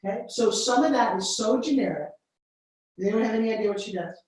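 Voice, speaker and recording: A woman coaching a group in a big, very echoey room, her voice set a little high and heard from some distance. She gives feedback in a direct, blunt and playful way. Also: expressive, fairly quick, darkish tone. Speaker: business coach. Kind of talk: live coaching workshop. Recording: very echoey room, mic far off.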